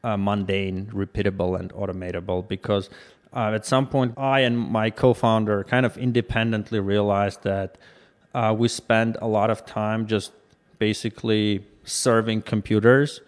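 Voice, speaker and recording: A man's voice: fairly low pitch, steady tone, normal speed. A man from Armenia, a startup co-founder answering interview questions thoughtfully, with fillers and restarts.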